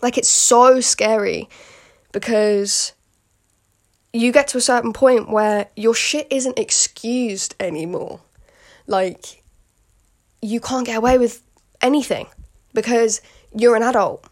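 A woman's voice: on the high side, steady tone, takes it slowly, pauses often. A British woman in her late teens talks candidly in a casual solo podcast, rambling with lots of fillers and asides to the listener. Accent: British English. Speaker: podcast host.

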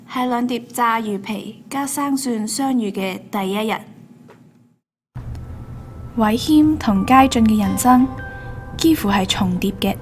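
A woman reading scripted storytelling narration as the narrator of a radio drama. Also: fairly high pitch.

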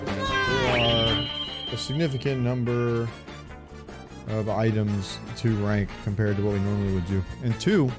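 A man's voice: medium pitch, huge pitch swings, unhurried, music in the background. Man chatting casually on a podcast. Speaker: podcast host. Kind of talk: casual gaming podcast chat.

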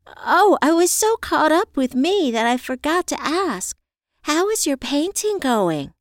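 A woman voicing an excitable, admiring female friend with an emotional, gushing manner in an audiobook. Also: high voice, unhurried, dry recording, clean studio sound, voice pushed up. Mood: excited.

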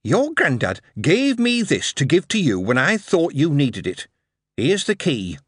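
A fretful Yorkshire mother with a high, strained voice that swoops widely, a man putting her on in an audiobook reading. Her husky, breathy tone sits close and dry, and she talks in blunt, homely words. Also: wildly swooping pitch, a little slow, no echo, exaggerated voice. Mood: stern. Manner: plain-spoken, fretful, then stern. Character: anxious, doting Northern mother, middle-aged.

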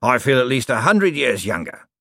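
An ancient ghost of a professor, acted out by a male audiobook reader, savours his words in drawn-out phrases. He is smug and wistful, with a flirtatious streak, and his voice swings about in a dry, close recording. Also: medium pitch, big pitch swings, fairly bright tone, dry recording. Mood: delighted. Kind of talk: audiobook character voice.

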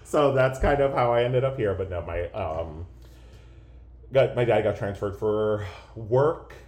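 A man's voice: on the low side, animated, measured pace, a little mellow, slight background noise. A man from Pennsylvania co-hosting a podcast, chatting casually and jokingly with lots of fillers. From Pennsylvania, USA. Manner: laid-back, joking, many fillers. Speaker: podcast co-host. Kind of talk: casual banter podcast chat. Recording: roomy echo.

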